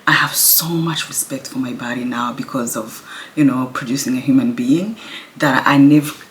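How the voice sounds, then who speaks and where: A woman, her Kinyarwanda, chatting casually to camera at home, speaking softly and laughing now and then. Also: very deep voice, normal speed, a little hiss or hum. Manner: speaks softly, laughs often, restarts words. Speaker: vlogger. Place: home, indoors.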